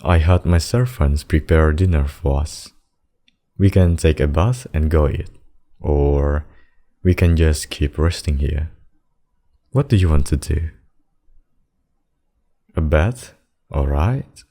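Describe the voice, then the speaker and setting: Male actor for demon king lover: very deep, unhurried, tender and protective, formal endearments, intimate ASMR delivery. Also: dark tone, full character voice. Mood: playful, affectionate. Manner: slow, formal, doting speech with endearments. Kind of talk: intimate ASMR roleplay acting.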